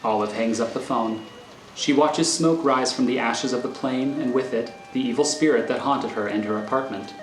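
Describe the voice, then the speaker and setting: A man's voice: medium pitch, moderate pace. A man reading scripted screenplay narration as the narrator of a thriller. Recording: roomy recording over faint music.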